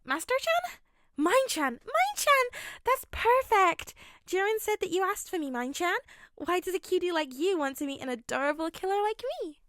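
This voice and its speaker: Hyper cutesy villain schoolgirl for an anime fan story, super high squealy gushing voice. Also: sing-song, moderate pace, fairly bright tone, no room sound, studio quality, heavily acted. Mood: giddy excitement. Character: hyper, giddy teenage villain girl with fangs.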